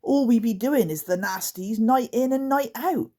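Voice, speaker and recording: A woman's voice: a little high, normal speed, dark tone, voice pushed up, lightly acted. A woman voicing Guff, an eager, mischievous male night creature who speaks in broken grammar.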